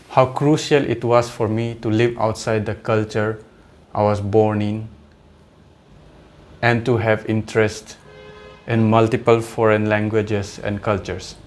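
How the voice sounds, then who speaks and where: A man's voice: lowish voice, a little hiss or hum. A man in his thirties from India, a native Adi speaker, talking in a rambling, reflective vlog monologue full of fillers and restarts. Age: 30s. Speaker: vlogger.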